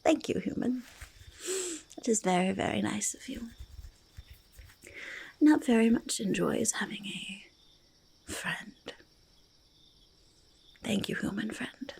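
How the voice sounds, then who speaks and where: A woman voicing a curious, friendly forest goblin in a soft ASMR roleplay, speaking haltingly in breathy whispers and creaky murmurs, repeating words. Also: mid-range voice, exaggerated voice. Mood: friendly, content. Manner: halting, third-person speech with doubled words. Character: curious, eager, friendly forest goblin who hoards treasure.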